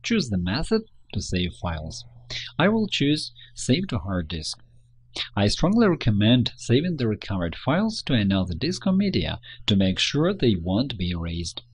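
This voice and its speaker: A man reading a scripted instructional narration in a measured, step-by-step manner. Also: fairly low pitch, wildly swooping pitch, husky. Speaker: tutorial narrator.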